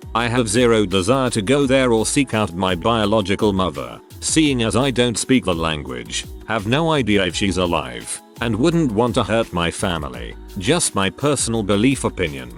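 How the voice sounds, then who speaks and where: A man narrating online posts aloud in an even, measured storytelling delivery. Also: on the low side. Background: faint background music.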